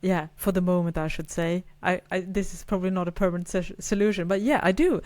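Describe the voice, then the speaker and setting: Hesitant woman, lowish voice. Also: fast, darkish tone. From Sweden. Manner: thoughtful, hesitant, repeats words. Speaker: podcast co-host. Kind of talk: casual chat.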